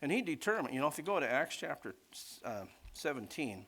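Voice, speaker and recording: A man's voice: fairly high pitch, big pitch swings, brisk, fairly bright tone, a little hiss or hum. A man preaching in an informal teaching style, rambling conversationally off the cuff and often checking in with his listeners. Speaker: preacher teaching a Bible class.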